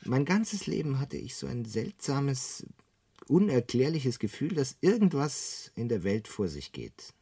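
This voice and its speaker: A man's voice: mid-range voice, sing-song, unhurried, mellow, husky, no room sound, exaggerated voice. An actor in a German radio play playing an earnest, bewildered ordinary man, a little hesitant. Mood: troubled, earnest.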